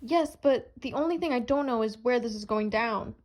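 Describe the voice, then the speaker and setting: A woman's voice: fairly high pitch, moderate pace, a little mellow, close to an everyday voice. A woman playing an earnest, plucky newspaper reporter in an amateur superhero radio play. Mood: earnest, urgent.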